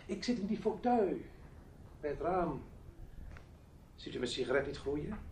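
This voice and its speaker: An actor playing a sly, menacing gentleman who speaks with theatrical, teasing courtesy in a Dutch radio drama. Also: fairly high pitch, expressive, a little slow, slight background noise. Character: sly, menacing foreign gentleman lurking in the dark.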